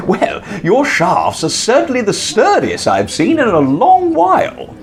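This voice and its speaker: Comic radio vicar, male actor: English, genteel, giggly, slow and extravagantly sing-song. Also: high-pitched, wildly swooping pitch, heavily acted. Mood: admiring. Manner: effusive, genteel, tittering, drawn-out delivery. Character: fussy, effusive, giggly village vicar, male. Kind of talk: comic radio drama acting.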